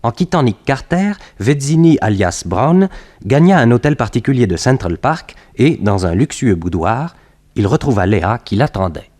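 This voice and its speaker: A man giving measured, dramatic scripted narration in the style of a 1960s French radio crime serial. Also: medium pitch, very animated, darkish tone, soft background music.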